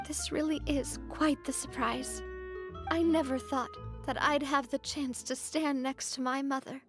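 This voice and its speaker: A woman's voice: sits high, steady tone, measured pace, over music. A woman voicing an earnest, heartfelt young female boss in a video game. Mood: moved, grateful.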